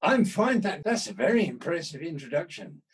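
Older guy getting interviewed, husky voice on the high side, humble, rambles and rephrases a bit. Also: very animated, moderate pace. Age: 60s or older. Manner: self-deprecating, hesitant, thoughtful, rephrasing as he goes. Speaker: interview guest, writer. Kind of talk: casual conversational interview.

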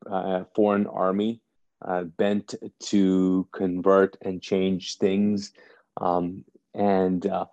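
A man in his forties, from Kuwait, gives a personal, reflective talk to his audience in a halting, thoughtful way, searching for his words. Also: sits low, dark tone, no echo, dull audio. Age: 40s. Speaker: speaker addressing an audience.

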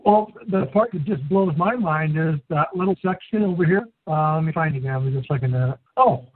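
A man's voice: a little high, fairly quick, no echo. A man co-hosting a casual diving talk show, blunt and incredulous, in a folksy way of speaking. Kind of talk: casual opinionated discussion. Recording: dry, tinny phone-like sound.

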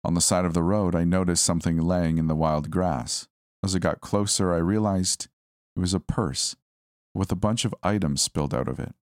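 A man reading a scripted first-person horror story in a measured, suspenseful way. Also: sits low, no echo, clean studio sound.

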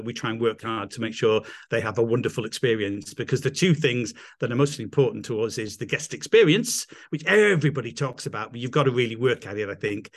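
A middle-aged man with an English accent, a guest on a video call, talking enthusiastically and rambling with restarts. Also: medium pitch. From England. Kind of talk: casual interview chat.

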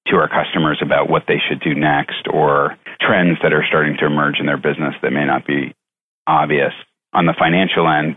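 A man answering interview questions as a company executive, speaking conversationally in measured, halting phrases with repeats, over a tinny phone-like connection. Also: a bass voice, husky. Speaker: chief executive, interview guest.

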